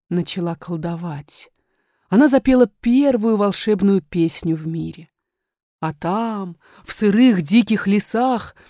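Female storyteller for children's tales: expressive, tender, emphatic on repeats, close dry studio sound. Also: mid-range voice, dry recording. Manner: addresses a small child tenderly, emphatic repetition. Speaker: audiobook narrator.

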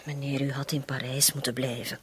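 A woman's voice: rumbling low, flat delivery, a little fast, slight background noise. An actress playing a frank, protective housekeeper in a radio drama, talking bluntly and candidly to her master. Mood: reproachful.

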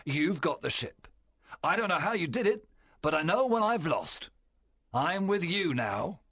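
Fierce storybook pirate captain: bright, forceful rasp, wildly swooping, commanding and cunning. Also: male voice, fairly high pitch, very animated, dry recording, studio quality, voice pushed up, full character voice. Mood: sly, conspiring. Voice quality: strained, gravelly rasp. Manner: theatrical, commanding, drawn-out delivery. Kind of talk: dramatized audiobook character voice.

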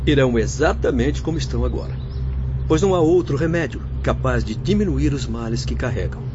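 An actor playing a serious spirit-world physician who explains things formally and factually in a radio drama. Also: male voice, medium pitch, lively intonation, a little fast, background noise, close to an everyday voice. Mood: firm, explanatory. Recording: dull lo-fi audio over music.

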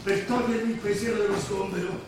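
A man's voice: high-pitched, steady tone, fairly quick. A man acting an anxious, wistful professor in a stage play, musing plaintively. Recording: echoey hall, distant mic, noisy.

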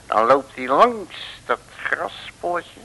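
An actor playing a bluffing, self-important detective with breezy colloquial talk in an old comic radio serial. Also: male voice, on the high side, very animated, takes it slowly, creaky, pushed and bright, heavily acted, poor audio. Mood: knowing. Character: cocky, bluffing, folksy male detective. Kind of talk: 1960s Dutch comic radio serial acting. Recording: hissy old radio recording.

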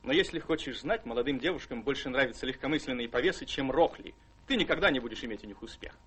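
An actor playing a carefree, charming young officer and rake in a radio drama, with a breezy, gallant, theatrical way of talking. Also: male voice, mid-range voice, lively intonation, fast talker, a bit bright, breathy edge. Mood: breezy, defensive.

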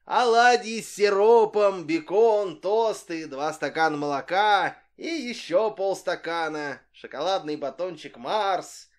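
A man voicing a small, boastful old tramp who shouts and hurries everyone along. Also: sits high, slow pace, few pauses, pitched above the performer's own voice. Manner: shouting and bragging, hurrying others on.

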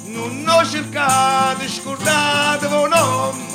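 High-pitched southern Italian woman singing folk. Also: fairly even intonation, drawn-out words, vocal fry. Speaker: singer. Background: guitar-led folk music.

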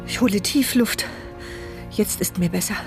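A woman's voice: mid-range voice, normal speed, music in the background, natural voice. An actress playing a mute, paralysed woman who narrates her inner thoughts in an intimate, urgent voice in a radio drama. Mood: tense, wry. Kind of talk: first-person radio drama monologue.

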